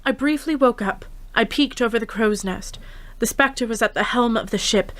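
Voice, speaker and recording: A woman playing a brave but frightened young adventurer, narrating her ordeal at sea in a measured, dramatic radio drama style. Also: fairly high pitch, fairly bright tone, natural voice. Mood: tense.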